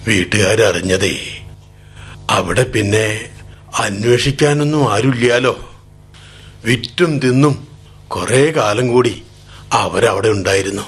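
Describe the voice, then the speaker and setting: An actor playing a village man who slowly recounts rumours, rambling and hearsay-laden. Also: lowish voice, fairly bright tone, husky, full character voice. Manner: slow, rambling, hearsay storytelling. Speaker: actor in radio drama. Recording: old AM radio broadcast.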